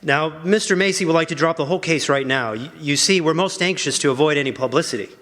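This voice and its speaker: A man's voice: on the high side, fast talker, fairly bright tone, close to an everyday voice. A man playing a timid, obsequious company underling in a radio drama, stammering nervously. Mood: nervous, ingratiating. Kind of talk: stage radio drama acting. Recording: echoey hall, long reverb.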